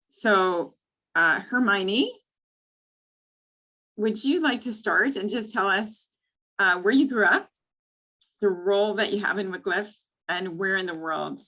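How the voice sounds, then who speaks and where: A woman's voice: fairly low pitch, pushed and bright, low-quality recording. A woman moderating an online panel over a video call, chatty and friendly with frequent fillers.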